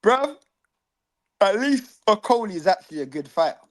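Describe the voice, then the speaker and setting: A man's voice: sits high, very animated, normal speed. Argumentative young man. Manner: argumentative, reasoned, teasing. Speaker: boxing podcast co-host. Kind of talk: casual chat.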